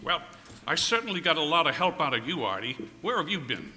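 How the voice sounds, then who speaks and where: Male actor as flustered wisecracking 1940s radio straight man, highish voice, sharp edge, hall echo. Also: big pitch swings, brisk, exaggerated voice. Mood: exasperated. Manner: stammering, fussy repetitions. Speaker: amateur radio play performer. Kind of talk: 1940s radio comedy acting on stage. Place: stage in a performance hall. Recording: reverberant hall, faint noise.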